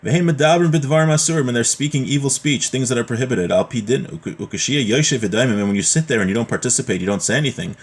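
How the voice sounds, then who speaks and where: A man's voice: mid-range voice, expressive, quick pace, hardly any pauses, slightly rough. A man giving an informal religious lesson, reading from a text and explaining it point by point with rhetorical questions. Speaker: religion teacher giving a class.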